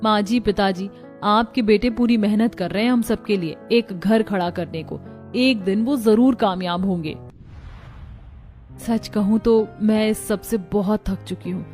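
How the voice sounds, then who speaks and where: A woman's voice: medium pitch, unhurried, darkish tone, close to an everyday voice. A woman voicing worried daughters-in-law in a cartoon family melodrama, earnest and plaintive. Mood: earnest, wistful. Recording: music bed behind the voice.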